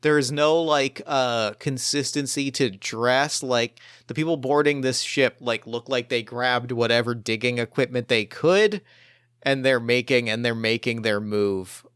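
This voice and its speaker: A man improvising narration as game master of a tabletop roleplaying game, speaking haltingly and repeating phrases as he builds his descriptions. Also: medium pitch, a bit bright, clear, resonant tone, dry recording, clean studio sound.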